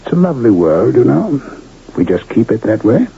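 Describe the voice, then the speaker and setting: An actor playing a wry, courtly Western doctor and card player in a radio drama, polite, measured and sardonic. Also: male voice, mid-range voice, very animated, vocal fry, mellow. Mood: weary, tender. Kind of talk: old-time radio drama acting. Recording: muffled, noisy old radio recording.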